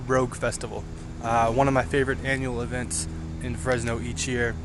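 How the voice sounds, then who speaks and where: A man's voice: mid-range voice, flat delivery, brisk. An American man in his thirties chatting casually to camera outdoors, giving recommendations. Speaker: guest recommending local events. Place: outdoors on a city street. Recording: noisy outdoor street, passing cars.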